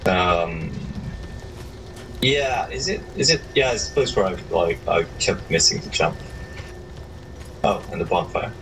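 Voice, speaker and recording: Deep gravelly man, hesitant gamer. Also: lively intonation, a little slow, pauses often, noisy background. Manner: hesitant, stammers and restarts. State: tired. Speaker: co-op partner, new to the game. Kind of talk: casual gaming chat.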